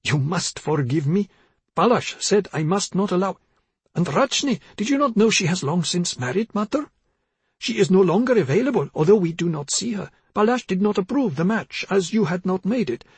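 A man narrator acts out an elderly mother in an audiobook, confused and fretful. Her voice is raised high and husky, and she rambles in apologetic, pleading phrases. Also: big pitch swings, moderate pace, no echo, heavily acted. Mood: confused, wandering. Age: older.

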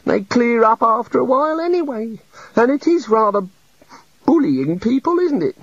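A timid, mournful god who frets over his own beard and powers, swooping up high and down again in a thin, fussy voice, as a male narrator puts him on. The recording is dry and a little dull. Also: sing-song, moderate pace, dry recording, heavily acted. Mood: gloomy. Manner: sad, fussy, querulous. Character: meek, gloomy male island god, bearded. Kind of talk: audiobook character reading.

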